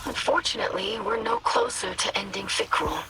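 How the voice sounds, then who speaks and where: A woman voicing an earnest young female alien scholar in a video game, thoughtful, with a creaky, breathy voice. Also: medium pitch, wildly swooping pitch, a little fast, very noisy. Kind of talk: video game dramatic dialogue.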